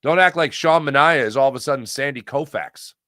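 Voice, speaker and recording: Bright-voiced, emphatic American man. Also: mid-range voice, brisk, no echo, studio quality. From Pennsylvania, United States. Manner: emphatic, repeats phrases for effect. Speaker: host of a sports talk livestream. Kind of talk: casual sports commentary and chat with viewers.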